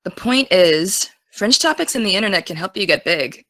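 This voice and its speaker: A dry, close voice in an audio drama: a young woman playing an excitable American teenage girl. Her pitch is average for a woman. She talks casually, teasing one moment and earnest the next. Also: moderate pace, no echo, lightly acted. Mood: upbeat, teasing. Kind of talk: naturalistic audio drama dialogue.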